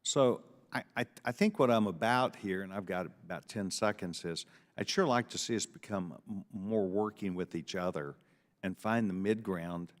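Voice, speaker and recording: A man's voice: sits low, normal speed. A man questioning witnesses at a committee hearing, pressing and repeating himself as he interrupts. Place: large hearing room. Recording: hearing room with long reverb.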